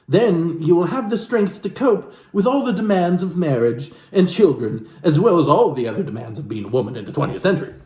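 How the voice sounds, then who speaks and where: A man with a highish, very animated voice reads a book aloud with a theatrical, playful touch. He drops in wry, off-the-cuff commentary as he goes. The room rings with echo around him. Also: brisk, few pauses. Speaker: comedic reader and commentator. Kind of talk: reading a self-help book aloud with joking ad-libbed asides. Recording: echoey room with background hiss.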